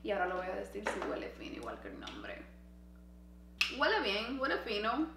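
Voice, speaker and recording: A woman's voice: medium pitch, huge pitch swings, fairly quick. A woman giving a chatty, informal, enthusiastic product promotion as a video host. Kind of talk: casual scripted sponsor read. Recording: echoey room with faint hum.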